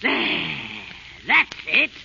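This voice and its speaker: An actress playing a sly, wicked old crone with a creaky cackle in a wheedling, theatrical 1930s radio style. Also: female voice, sits high, very animated, extremely slow, bright, forceful tone, heavily acted. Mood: coaxing, sly. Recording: noisy, muffled 1930s radio recording.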